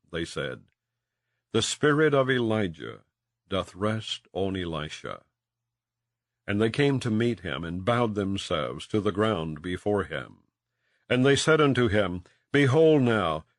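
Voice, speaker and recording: Guy reading bible audiobook, lowish voice, really swooping up and down, solemn and measured, dry close sound. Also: no echo. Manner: measured, declamatory, with archaic phrasing. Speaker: audiobook narrator. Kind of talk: solemn scripture reading, scripted narration.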